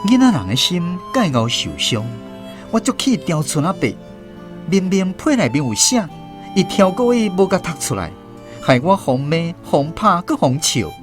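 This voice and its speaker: A man's voice: sits high, very animated, normal speed, music in the background. A man with a Taiwanese accent narrating a first-person story expressively for radio drama.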